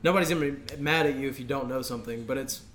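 A man's voice: mid-range voice, very fast, a bit bright. A man co-hosting a podcast, talking casually and informally in an agreeable conversational way. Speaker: podcast co-host.

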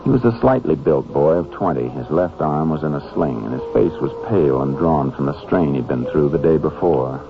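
An American man playing a calm, matter-of-fact Texas Ranger investigator in 1950s radio drama style. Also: rumbling low. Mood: businesslike. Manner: measured, matter-of-fact, clipped. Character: calm, steady Texas Ranger investigator, narrating his case. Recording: dull, noisy 1950s radio recording.

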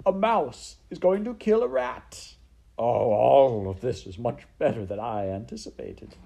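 A man's voice: mid-range voice, animated, gravelly. A man voicing a gleefully cruel rat in a storybook, gloating and jeering theatrically. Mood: gloating delight. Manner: theatrical gloating, drawn-out shouts.

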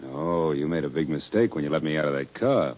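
Low-voiced sly blackmailing chauffeur. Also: male voice, lively intonation, brisk. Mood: sly, threatening. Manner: insinuating, needling. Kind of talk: 1940s radio drama acting. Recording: thin, phone-like old radio recording.

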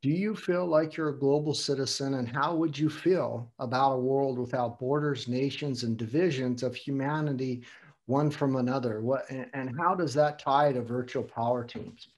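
A man's voice: mid-range voice, moderate pace, darkish tone. An older man hosting an interview, asking long, meandering questions and repeating words as he thinks. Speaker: host. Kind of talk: casual interview.

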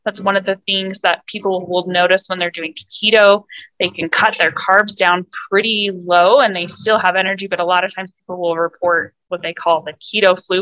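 A woman coach co-hosting a laid-back podcast, explaining things and asking curious follow-up questions. Her voice is bright, at a middle pitch. The recording is dry and close. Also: no echo, poor audio. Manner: engaged, asks follow-up questions. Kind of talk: casual podcast chat.

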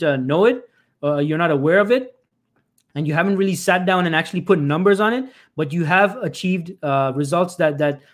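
A man hosting a live stream as a career coach, giving emphatic advice to job seekers, often repeating himself and calling his audience "folks.". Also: fairly high pitch. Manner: frequent restarts and filler "uh".

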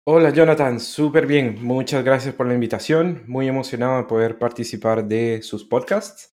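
A man's voice: mid-range voice, moderate pace, darkish tone. A man from America, a guest chatting in a podcast interview in a friendly, talkative way. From Central America. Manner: friendly, chatty, laughs easily. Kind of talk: casual interview chat.

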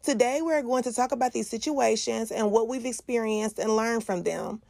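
A woman in her twenties co-hosting a podcast with a steady, clear delivery. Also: fairly high pitch, normal speed, dry recording. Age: 20s. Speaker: co-host. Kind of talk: scripted podcast intro.

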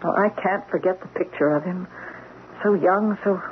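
A woman's voice: lowish voice, normal speed, natural voice. Radio play role: actress as earnest clinic doctor, a troubled woman, hesitant and conversational, on a muffled, hissy recording. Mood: troubled, sad. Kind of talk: radio drama acting. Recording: dull, noisy old radio recording.

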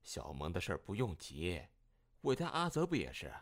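A man playing a genial middle-aged father in an audio drama, gently probing and hospitable. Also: low-pitched, wildly swooping pitch, moderate pace. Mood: welcoming. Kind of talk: modern audio drama acting.

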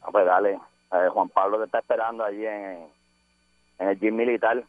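A man's voice: on the low side, steady tone, brisk, no room sound. Insistent Caribbean man on a tinny phone. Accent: Caribbean Spanish. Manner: insistent, rambling, emphatic. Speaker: radio call-in listener. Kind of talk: casual phone-in banter. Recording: tinny, narrow-band phone line.

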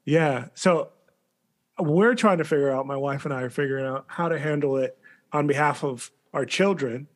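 A man's voice: a little high, normal speed. A man co-hosting a podcast, chatting in an easygoing way with light laughter and casual fillers. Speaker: podcast co-host. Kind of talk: casual conversational chat.

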